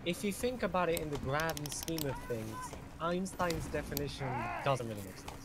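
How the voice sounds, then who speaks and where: A man's voice: fairly high pitch, animated, normal speed. A young man with an English accent, hosting a gaming stream and chatting casually, rambling and swearing with a self-mocking streak. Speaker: game streamer host. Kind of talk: casual voice chat while gaming. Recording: loud background noise.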